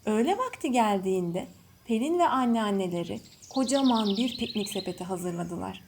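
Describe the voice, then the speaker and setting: A woman storyteller reading a scripted children's bedtime story in measured phrases. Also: mid-range voice, animated. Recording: faint background noise with birdsong. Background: birds chirping.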